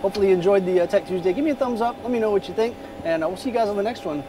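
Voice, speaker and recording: A man's voice: high voice, very fast. A man in his thirties, a pilot giving a casual video tour of a small jet cockpit, talking in an easygoing way with many fillers, recorded on a camera held at arm's length. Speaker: corporate pilot vlogger. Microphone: phone or camera held at arm's length. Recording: noisy airport background.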